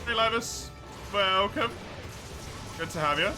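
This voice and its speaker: English streamer, slow talk over music. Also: male voice, high voice. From England. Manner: laughs often, self-mocking, swears casually. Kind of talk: casual live-stream chat with viewers.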